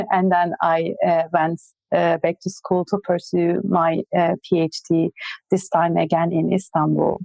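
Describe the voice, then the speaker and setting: A woman's voice: on the low side, little pitch movement, a little slow, gravelly, mellow, no room sound, rough recording. A woman from Turkey with a Turkish accent, a research guest answering interview questions hesitantly with frequent fillers.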